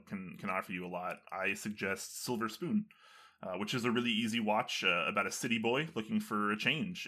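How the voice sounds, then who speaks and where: Bright-voiced man chatting about shows. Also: on the low side. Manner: enthusiastic, many fillers. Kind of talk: casual conversation.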